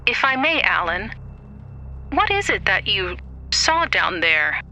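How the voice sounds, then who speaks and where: A woman playing a calm, reassuring doctor in an audio horror drama, measured and gently probing. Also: medium pitch, slight background noise, heavily acted, rough recording. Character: calm, reassuring female doctor interviewing a traumatized patient.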